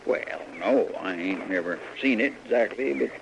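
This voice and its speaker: A man's voice: lowish voice, lively intonation, normal speed, creaky. A man playing a folksy, gullible cowboy sidekick with a rural Western American drawl, in a 1950s radio western. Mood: folksy, earnest. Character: folksy, gullible cowboy sidekick, mildly fretful tall-tale teller. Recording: muffled vintage radio recording, faint noise.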